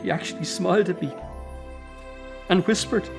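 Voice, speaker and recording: Irish circus boss guy, weary n earnest, slightly high voice, radio play with music under. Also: normal speed, gravelly. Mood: grieving, haunted. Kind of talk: radio drama acting.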